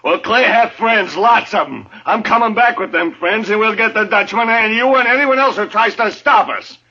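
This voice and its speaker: A hot-headed American westerner bent on revenge in an old radio drama. His voice is fairly high and sharp, full of menace and accusation, on a dull vintage broadcast recording. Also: normal speed, slightly rough, full character voice. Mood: threatening, accusing. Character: vengeful, hot-headed Old West brother out for blood. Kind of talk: 1950s radio western acting.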